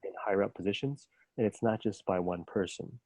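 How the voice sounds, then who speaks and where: A man coach talking through a work idea in a measured, tentative way. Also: lowish voice, a little mellow, dry recording, poor audio. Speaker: business English coach. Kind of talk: casual work conversation.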